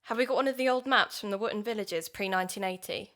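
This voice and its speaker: A woman's voice: medium pitch, fast talker, a bit bright, lightly acted. A woman playing an anxious but determined young podcaster in an audio drama.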